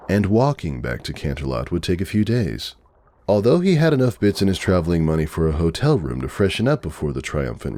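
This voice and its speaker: A man's voice: sits low, darkish tone, a little hiss or hum. A man narrating a story from a script as a dramatic reading. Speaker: narrator, fan fiction reader.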